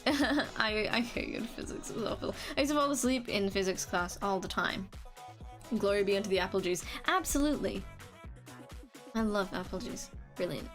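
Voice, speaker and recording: A woman's voice: medium pitch, fairly bright tone. A young woman, chatting casually on a live stream, rambling, restarting sentences and laughing now and then. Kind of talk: casual chat reading comments.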